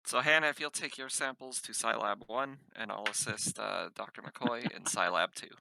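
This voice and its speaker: A man's voice: medium pitch, normal speed, gravelly, pushed and bright, no echo. A man playing a tabletop game, chatting casually as he speaks for his character and himself.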